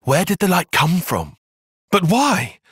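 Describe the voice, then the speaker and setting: An actor playing a bookish young male soldier-tactician in a video game, with a British accent and a measured, formal, dry way of speaking. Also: high-pitched, huge pitch swings, a bit bright, breathy edge, dry recording, heavily acted. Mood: urgent, tense. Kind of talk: video game cutscene voice acting.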